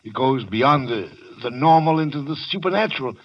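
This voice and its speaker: An actor playing a stubborn, reasoning doctor. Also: male voice, medium pitch, animated, distant mic, lightly acted. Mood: insistent. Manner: measured and soothing, turns pompous and insistent. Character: middle-aged male doctor, reasoning and stubborn. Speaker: radio drama actor. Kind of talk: 1940s radio acting. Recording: dull lo-fi old radio recording.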